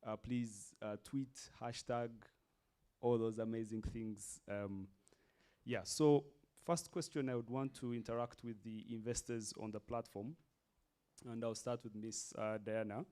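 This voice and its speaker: A man's voice: mid-range voice, fairly even intonation, a little slow, mellow, clean tone. A man moderating a workshop panel, thanking the speakers and putting questions to them with a few fillers.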